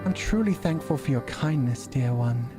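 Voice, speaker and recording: A man voicing a benevolent, subtly manipulative female mermaid in a visual novel, with formal, old-fashioned and unhurried diction. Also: mid-range voice, expressive, over music, lightly acted. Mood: gracious.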